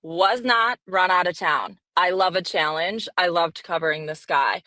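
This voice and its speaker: A woman's voice: fairly low pitch, measured pace, sharp tone, dry recording. An American woman, a sports reporter guesting on a podcast, chatting casually in a playfully assertive way. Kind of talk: casual interview chat.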